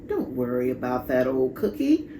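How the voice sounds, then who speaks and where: A woman voicing a kindly, reassuring old grandmother in a storybook, gentle and comforting. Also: a bass voice, huge pitch swings, normal speed, echoey room, noisy background, pitched below the performer's own voice, full character voice.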